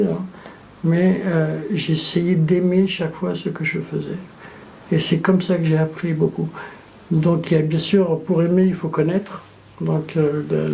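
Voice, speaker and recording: A middle-aged man born in Morocco and raised in France, an engineer speaking reflectively in an interview, measured and thoughtful, repeating words as he searches. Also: on the high side, vocal fry, warm tone.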